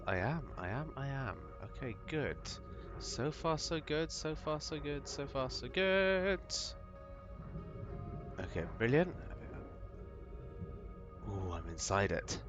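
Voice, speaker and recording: A man streaming a video game and chatting with viewers, thinking aloud with lots of ums, his voice gravelly from a recent cold. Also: medium pitch, big pitch swings, slow, long pauses, dull audio. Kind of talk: live gameplay commentary, chatting with viewers. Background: game music.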